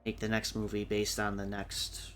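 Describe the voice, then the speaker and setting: Bright-voiced, fairly low man chatting casually. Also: monotone, normal speed. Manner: casual, swearing, slangy. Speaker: round table co-host. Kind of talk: casual group chat on a livestream. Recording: livestream with music underneath.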